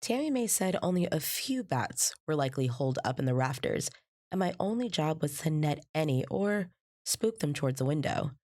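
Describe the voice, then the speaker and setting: An actress playing an earnest young trainee, narrating her story in the first person in a measured, descriptive way. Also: low-pitched, very animated, warm tone. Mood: earnest, uneasy.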